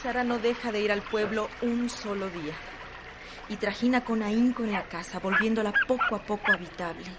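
Woman narrating, mid pitch, noisy background. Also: brisk. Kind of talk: literary radio drama narration.

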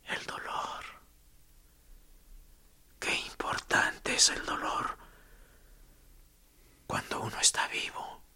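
An actor playing a tortured male prisoner in a radio drama, speaking in a hoarse whisper with morbid sarcasm. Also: slow pace, long pauses, bright, forceful tone. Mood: eerie calm.

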